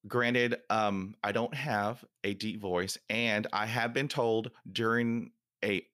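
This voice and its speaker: A man's voice: fairly low pitch, steady tone, takes it slowly, fairly bright tone, no room sound, studio quality. A man who stutters, hosting a podcast interview and telling stories animatedly with laughter. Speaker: podcast host.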